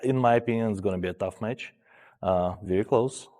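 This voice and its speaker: Man in his forties, measured. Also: lowish voice, mellow, in a large hall. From Ukraine. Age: 40s. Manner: measured, with frequent "uh" pauses. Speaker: armwrestler. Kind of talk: pre-match interview.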